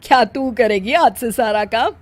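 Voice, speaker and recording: A woman voicing an older, sharp-tongued mother-in-law who scolds and complains in a cartoon story. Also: a little high, normal speed, lightly acted. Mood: teasing.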